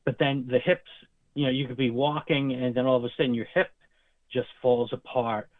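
Brief: male healthcare guest, steady mid-pitched voice, explains medical terms carefully; thin phone-line sound, dry. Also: normal speed, dry recording. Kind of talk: interview explanation.

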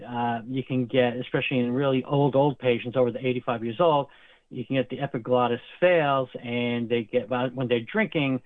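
A man's voice: mid-range voice, no room sound. Man on a tinny phone line. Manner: explains medical terms at length. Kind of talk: interview explanation.

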